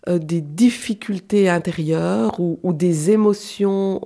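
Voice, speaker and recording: A woman from Switzerland speaks in a low, mellow voice as a radio guest. She talks thoughtfully and haltingly, with many fillers, as she explains her work in a studio interview. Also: creaky. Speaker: guest, clown and actress.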